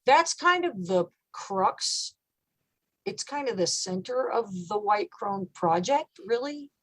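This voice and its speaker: A woman metal singer with a low voice, talking casually in an interview, thoughtful and relaxed with many fillers. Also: slow pace.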